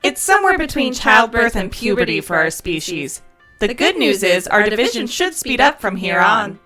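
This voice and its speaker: A woman playing a bossy, sardonic alien mechanic in an audio drama, speaking briskly and sarcastically. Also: medium pitch, sing-song, music in the background, lightly acted. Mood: dry, explanatory. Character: dividing two-headed alien plasmoid mechanic, sardonic and bossy. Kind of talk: scripted audio drama dialogue.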